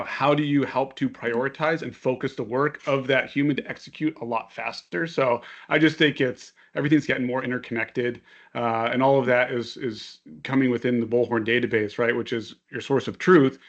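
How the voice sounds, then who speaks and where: Man, panelist, casual halting business commentary, slightly dull lo-fi call audio. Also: mid-range voice, fairly bright tone. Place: online webinar call. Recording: dull, band-limited online call audio.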